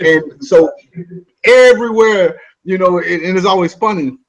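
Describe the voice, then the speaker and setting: A man's voice: high-pitched, normal speed, lo-fi sound. A man from the Midwest hosting a casual chat, loose and joking. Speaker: host.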